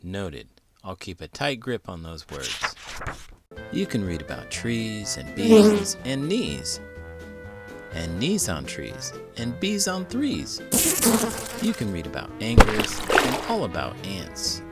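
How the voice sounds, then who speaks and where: A man's voice: on the low side, wildly swooping pitch, slow pace. A man hosting a children's storybook read-aloud, theatrical and full of big emotion, stretching words for effect. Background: music.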